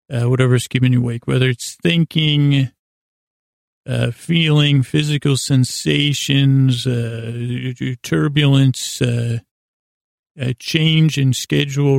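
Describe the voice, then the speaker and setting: A man with a gravelly voice hosting a bedtime podcast, talking in a hesitant, meandering way, stumbling over words and drifting off into tangents to lull listeners to sleep. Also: medium pitch, no echo, studio quality. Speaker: podcast host. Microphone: close studio mic.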